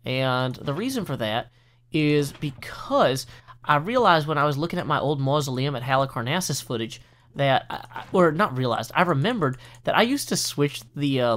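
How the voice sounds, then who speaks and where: An earnest man from the United States talks over his gameplay in a relaxed, meandering way. He backtracks often, piles on fillers and restarts his sentences. His voice sits at an ordinary middle pitch, recorded close on a desk mic. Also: normal speed. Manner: earnest, rambling with many fillers and self-corrections. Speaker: let's play host. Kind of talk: casual gameplay commentary.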